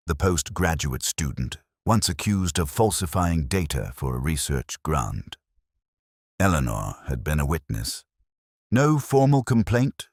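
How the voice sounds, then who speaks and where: A man narrating a scripted first-person detective story in a measured, brooding, literary manner. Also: extremely low pitch, no echo, clean studio sound.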